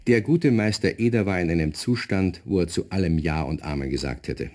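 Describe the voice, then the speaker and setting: A man with a Bavarian accent narrating a children's radio play, expressive and speaking directly to young listeners. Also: sits low, a little fast.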